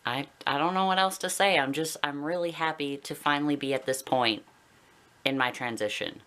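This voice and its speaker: A man's voice: fairly high pitch, moderate pace, sharp tone, smooth. A young adult man with a Canadian accent talking casually and candidly to viewers in a personal vlog, rambling a little. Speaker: video blogger.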